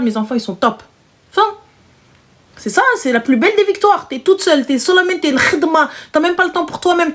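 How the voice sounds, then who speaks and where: Woman, high voice, casual live chat, emphatic, repetitive, slangy. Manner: emphatic, repeats phrases, slangy street French. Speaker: live stream host; single mother.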